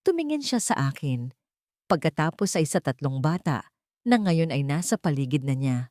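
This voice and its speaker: A woman's voice: sits low, big pitch swings, normal speed, darkish tone, no echo. A woman narrating a dramatic love story, earnest and emotional.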